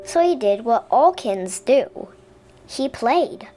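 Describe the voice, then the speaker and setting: High, animated woman reading a storybook. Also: slow, faint music behind. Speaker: storybook reader. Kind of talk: expressive children's story narration.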